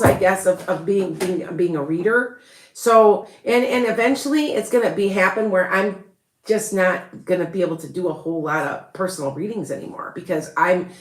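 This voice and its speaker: A woman's voice: medium pitch, roomy sound. A woman with a Chicago accent, hosting a rambling, chatty live stream as a psychic reader and laughing often. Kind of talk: casual live-stream chat.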